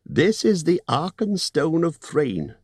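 A man voicing a fussy, polite male hobbit burglar who talks in a businesslike, slightly squeaky way. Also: sing-song, slow pace, a little mellow, slightly rough, no room sound, studio quality, exaggerated voice. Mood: earnest.

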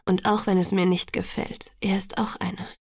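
A woman voicing a gentle, patient young man in audiobook dialogue, murmuring softly and soothingly. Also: mid-range voice, level tone, moderate pace, breathy edge, no room sound, in a whisper, close to an everyday voice. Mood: soft, understanding. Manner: hushed, murmured, softly spoken.

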